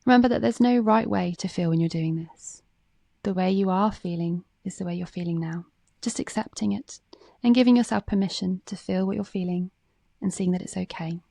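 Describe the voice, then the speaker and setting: Female meditation guide, mellow and relaxed with gravelly fry, calm scripted read, close dry sound. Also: mid-range voice, fairly quick, no echo.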